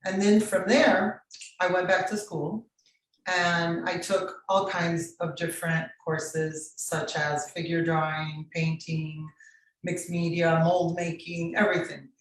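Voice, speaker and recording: A woman from Miami recounts her path as an artist in an interview. She speaks slowly and deliberately. Her voice comes from some distance, wrapped in strong room echo. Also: fairly low pitch, little pitch movement. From Miami, Florida. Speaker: guest artist. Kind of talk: personal interview narrative. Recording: very echoey room, distant from microphone.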